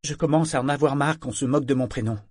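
Jeune marin femme jouée par un homme, voix plus aiguë, sèche et lasse, articule avec soin. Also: male voice, mid-range voice, quick pace, a little mellow, no echo, studio quality, voice pushed up, close to an everyday voice. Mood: curt. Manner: careful, weary, clipped delivery. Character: young woman sailor disguised as a boy, dazed, curt.

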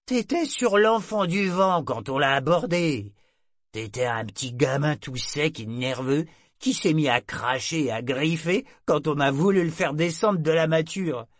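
A man's voice: on the high side, expressive, measured pace, no room sound, studio quality, pitched above the performer's own voice. A man voicing a drunken, gap-toothed old sailor who rambles and slurs his words. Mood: boozy, rambling. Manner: slurred, mumbling drunken talk.

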